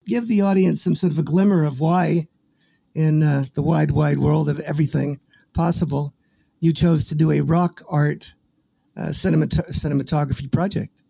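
A man hosting a podcast, folksy and energetic, often restarting his words, with a dry close-mic sound. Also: a little high, moderate pace, vocal fry, breathy edge, no room sound. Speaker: podcast host. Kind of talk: casual interview with promo reads.

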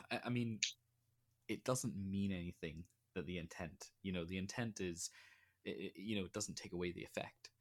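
Low-voiced reflective male podcast host. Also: normal speed. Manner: reflective, thinking aloud. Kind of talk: conversational interview.

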